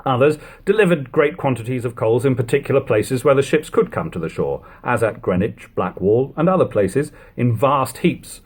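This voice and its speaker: Guy reading an old book out loud, kinda husky, lots of up and down in the pitch. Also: expressive, normal speed, few pauses. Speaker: audiobook reader. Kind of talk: literary audiobook narration. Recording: lo-fi home recording.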